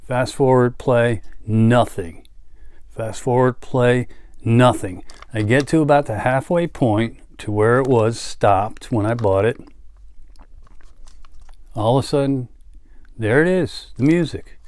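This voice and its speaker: Slow-talking, casual man showing his collection. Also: mid-range voice, a little mellow, no echo. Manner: unhurried, halting delivery with trailing asides. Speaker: music collector and vlogger. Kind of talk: casual show-and-tell chat.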